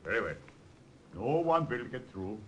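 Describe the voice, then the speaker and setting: An actor playing an obedient German army lieutenant in an old-time radio drama, recorded quietly. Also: male voice, mid-range voice, big pitch swings, moderate pace, lightly acted. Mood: dutiful.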